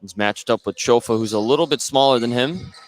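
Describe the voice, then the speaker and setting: An American man works as a play-by-play announcer on a basketball radio broadcast. His mid-pitched voice sits over clearly audible gym noise. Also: brisk. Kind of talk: live basketball radio commentary. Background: bouncing basketballs and gym noise.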